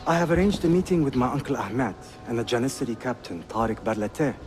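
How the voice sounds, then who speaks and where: A man's voice: mid-range voice, fast, music in the background, lightly acted. A man, playing a courteous, shrewd seventeen-year-old Ottoman prince in a video game, composed and assured. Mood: composed, earnest. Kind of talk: cinematic game acting.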